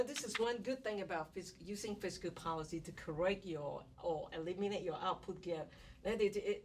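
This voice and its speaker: A woman from the Malaysia and Singapore area teaching economics in a classroom, chatty and given to acting out little scenes. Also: medium pitch. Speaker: economics lecturer. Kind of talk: classroom lecture. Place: university classroom. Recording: distant classroom recording with faint noise.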